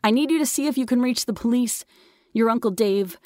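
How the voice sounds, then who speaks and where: A woman's voice: fairly high pitch, fairly quick, darkish tone, dry recording, studio quality, voice pushed up, close to an everyday voice. A woman voicing a protective, besieged mother who urgently calls out orders to her children in an audiobook. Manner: raised, insistent, ordering. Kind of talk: audiobook character dialogue.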